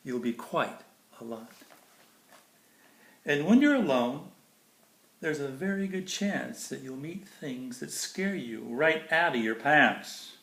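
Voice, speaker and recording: A man's voice: medium pitch, animated, takes it slowly, creaky, a bit bright. A man reading a children's picture book aloud in a theatrical, playful way, with casual asides about the pictures. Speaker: storybook reader. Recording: roomy echo, faint background noise.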